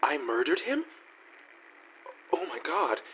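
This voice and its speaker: A man's voice: a little high, sing-song, takes it slowly, fairly bright tone, slightly rough, dull audio, heavily acted. An actor playing a deluded, bewildered man come home, earnest and melodramatic in a parody radio play. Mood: dramatic, bewildered.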